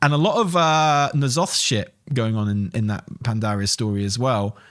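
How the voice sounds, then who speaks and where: A man's voice: medium pitch, moderate pace, fairly bright tone, no room sound, clean studio sound. A man talking casually as a gaming stream host, rambling and enthusiastic, with some swearing. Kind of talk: casual livestream chat and commentary on game news.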